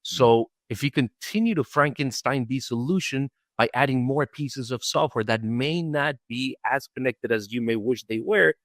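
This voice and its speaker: Man, medium pitch, podcast interviewer, conversational, recapping and prompting, dry close recording. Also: moderate pace, hardly any pauses, a bit bright, no echo.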